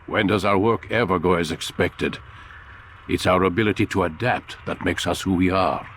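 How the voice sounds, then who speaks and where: An actor playing a stern older master of assassins in a video game, commanding and scolding in a measured way. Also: male voice, low voice, steady tone, fairly bright tone, slight background noise. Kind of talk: dramatic video game acting.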